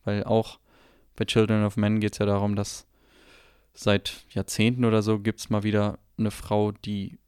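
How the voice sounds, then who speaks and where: A man's voice: fairly low pitch, monotone, unhurried, a little mellow, clear, resonant tone, dry recording, clean studio sound. A man co-hosting a film podcast, discussing movies in a casual way.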